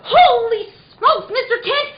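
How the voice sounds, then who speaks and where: Woman playing an excitable, high-voiced boy sidekick. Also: takes it slowly. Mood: astonished. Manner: breathless, exclamatory. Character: excitable young boy sidekick in a superhero radio serial. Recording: roomy, dull sound, chatter behind.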